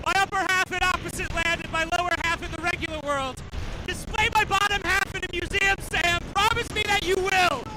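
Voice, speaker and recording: A man's voice: very high-pitched, steady tone, brisk, over a noisy crowd, very noisy. An actor playing an impulsive, stubborn young man in a science fiction radio drama, with a melodramatic manner. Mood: panicked.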